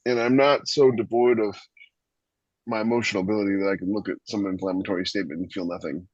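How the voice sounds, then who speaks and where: A man's voice: on the low side, fairly even intonation, fast talker, no echo. A man chatting casually as a co-host on a writers' podcast, in a slightly dull recording. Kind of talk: casual panel chat.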